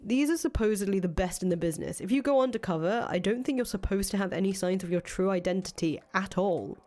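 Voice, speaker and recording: A woman's voice: medium pitch, fast talker, dark tone, lightly acted. A woman playing a cynical, foul-mouthed ousted rebel leader in a visual novel, thinking aloud in casual, profane speech. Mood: suspicious, agitated. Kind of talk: acted reading of visual novel dialogue. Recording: music under the voice.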